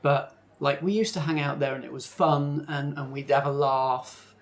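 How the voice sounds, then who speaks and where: An improviser plays a discontented local teen in a tabletop roleplay. The voice is creaky and hesitant, drifting through loose, rambling sentences with a reluctant, sulky temper. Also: medium pitch, fairly even intonation, moderate pace, close to an everyday voice. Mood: glum, wistful. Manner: rambling, hesitant, full of "like" and "you know".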